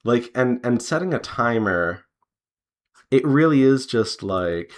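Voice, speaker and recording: A man chatting casually as he draws, rambling in an unhurried way and pausing mid-sentence. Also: mid-range voice, lively intonation. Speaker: artist and devlog host. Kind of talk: casual chat while drawing.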